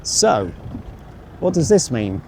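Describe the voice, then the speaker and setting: Slow-talking man vlogging outdoors. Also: mid-range voice, sing-song, vocal fry, mellow. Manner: rambling, musing, wry, self-deprecating. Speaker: travel vlogger. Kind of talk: casual walking travel commentary, thinking aloud. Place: outdoors, walking by a road and canal. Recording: outdoor street noise with passing vehicles. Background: street ambience, vehicles.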